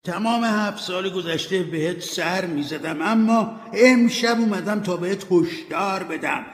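A man's voice: high-pitched, voice pushed up, close to an everyday voice. A man voicing the anguished ghost of a dead businessman, lamenting and warning in a declamatory way. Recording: echoey, reverberant room.

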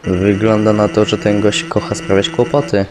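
A man playing a laid-back, slightly wary skeleton in a cartoon fan dub, speaking in a casual, low-key way. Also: completely flat delivery, normal speed, a little mellow, close to an everyday voice.

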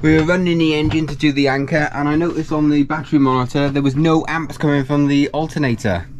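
A man in his thirties, a sailing vlogger, talking to a handheld camera in a small boat cabin and calmly explaining an electrical repair step by step. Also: fairly high pitch, fast talker. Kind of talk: casual vlog narration to camera. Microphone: action camera held close. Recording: faint background noise.

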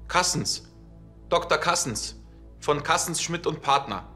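Awkward bright-voiced fake notary. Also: male voice, a little high, lively intonation, unhurried, faint music behind. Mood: nervous, formal. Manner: hesitant, formal. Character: policeman bluffing as an awkward, formal notary.

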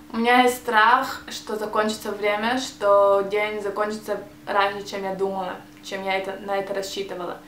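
A young woman with a Hebrew accent talks straight to the camera in a casual vlog, searching for words and restarting them as she goes. Her voice sits at an ordinary middle pitch. The small room rings noticeably, and a faint hum runs underneath. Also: little pitch movement, normal speed, fairly bright tone, echoey room. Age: young adult. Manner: hesitant, restarts words while searching for Russian. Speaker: vlogger. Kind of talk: casual tag video answering questions. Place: small bright room, indoors. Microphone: camera mic, seated facing camera.

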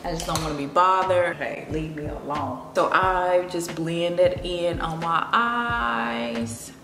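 A young woman with a Memphis accent chatting casually as she does her makeup at home, relaxed and confiding. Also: fairly low pitch, takes it slowly, gravelly. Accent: Memphis Southern. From Memphis, Tennessee. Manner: relaxed, confiding, drifting between makeup steps and personal chat. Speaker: beauty vlogger. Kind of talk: chatty get-ready-with-me makeup talk. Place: home room with plants. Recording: echoey room, music playing behind.

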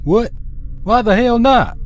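A man voicing a hot-headed young stallion who talks quickly and yells. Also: very high voice, lively intonation, faint music behind, voice pushed up. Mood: urgent. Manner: quick, yelling.

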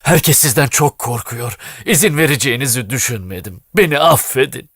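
Brief: a scared cartoon husband, mid-pitched, begging, dry close recording. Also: male voice, animated, moderate pace, fairly bright tone, husky, no echo. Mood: pleading. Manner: pleading, apologetic. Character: frightened pleading husband, a young man. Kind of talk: cartoon voice acting.

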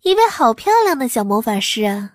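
Alluring courtesan, coy, teasing, high pitch, very lively intonation, fantasy audiobook. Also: female voice, brisk, no room sound, clean studio sound. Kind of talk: dramatized fantasy audiobook acting. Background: music behind the voice.

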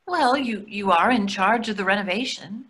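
A woman's voice: mid-range voice, normal speed, a bit bright, lightly acted. An older woman playing an anxious, needling therapist and wife, hesitant and defensive, in a play read over a video call. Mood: evasive.

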